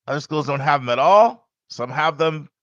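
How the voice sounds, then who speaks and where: A man hosting a podcast, speaking loudly and emphatically in a casual monologue with frequent asides to the listener. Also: on the high side, lively intonation, normal speed, no room sound, lo-fi sound. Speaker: podcast host and college admissions advisor.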